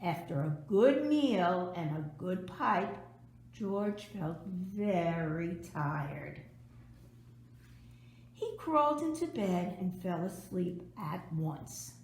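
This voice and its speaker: A woman reading a children's storybook aloud expressively, with dramatic emphasis and drawn-out words. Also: on the low side, animated, a little mellow, reverberant, slight background noise. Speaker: storybook reader.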